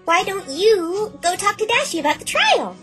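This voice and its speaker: A woman voicing a bubbly, childlike, scatterbrained cartoon pony with excitable, cutesy talk. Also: very high-pitched, moderate pace, soft background music, full character voice. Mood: chirpy.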